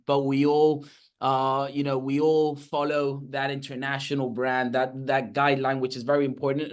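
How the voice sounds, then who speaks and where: Casting: Spanish-accented male host, mid-range voice, eager, chatty, lots of "uh"s. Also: medium pitch. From Spain. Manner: enthusiastic, many fillers and restarts. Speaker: podcast host, real estate agent. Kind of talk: casual video-call interview.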